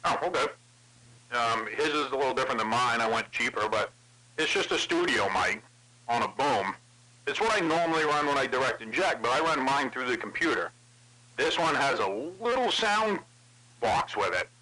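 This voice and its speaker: A man with an average-pitched voice talks casually as a CB radio operator. His banter is blunt, profane and teasing. The transmission is plainly noisy, with clicks. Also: lively intonation, normal speed, pushed and bright. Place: over CB radio.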